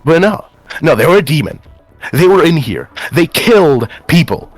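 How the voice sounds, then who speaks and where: A man's voice: fairly high pitch, big pitch swings, moderate pace, dry recording, soft background music. A man playing an agitated, betrayed young man in improvised roleplay, stammering and repeating words in bitter, sarcastic accusation. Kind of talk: improvised in-character roleplay dialogue.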